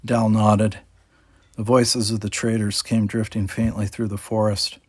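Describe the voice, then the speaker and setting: A man's voice: lowish voice, level tone, a little slow, husky, dry recording. A man reading a children's adventure story aloud as scripted narration. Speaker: audiobook reader.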